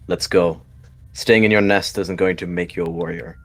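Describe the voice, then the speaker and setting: A man's voice: deep voice, fairly even intonation, normal speed. A man voicing a harsh, cold warrior cat who snaps and sneers orders as a mentor in a roleplay story. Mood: stern. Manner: snapping, sneering, commanding.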